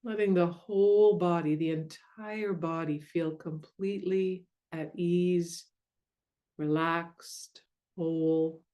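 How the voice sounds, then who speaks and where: Female meditation guide, lowish voice, very slow delivery, gentle and soothing with long pauses. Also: fairly even intonation. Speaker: meditation guide and mindfulness coach.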